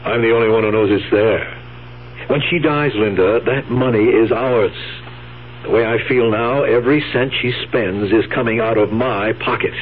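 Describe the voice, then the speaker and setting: A scheming, insistent husband played by a man talks in a radio drama, in a medium-pitched voice. The recording is thin and band-limited, with faint hum. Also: moderate pace, narrow, tinny audio, natural voice. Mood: calculating, greedy. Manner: insistent, calculating. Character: firm, greedy, scheming husband. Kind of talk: 1970s radio drama acting.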